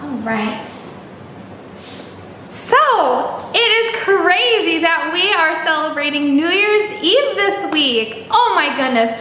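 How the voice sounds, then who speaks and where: A young woman with a very high, swooping voice leads a storytime for small children. She speaks in an exaggerated, encouraging manner, often putting questions to her young listeners. The room around her sounds echoey. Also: very animated, slow pace, sharp tone. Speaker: library storytime host. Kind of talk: children's storytime read-aloud, chatting and singing to young viewers. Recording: echoey room with faint background noise.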